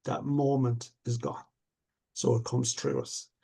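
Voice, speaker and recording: An older man speaks with a gravelly, husky voice. His tone is dark and mellow. He talks about things in an earnest, reflective, measured way, the way a coach does. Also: medium pitch, little pitch movement. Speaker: stuttering coach. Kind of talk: casual conversation.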